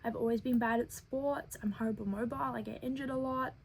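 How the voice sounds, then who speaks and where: Breathy, childlike young woman vlogger. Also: fairly high pitch, fairly even intonation. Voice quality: childlike head voice. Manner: earnest, thoughtful, with frequent filler pauses. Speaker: web series creator. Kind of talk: personal storytelling vlog.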